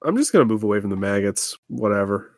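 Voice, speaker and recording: A man's voice: lowish voice, lively intonation, fast, a little mellow, dry recording, studio quality. A man chatting as a tabletop game player in an offhand, nonchalant way.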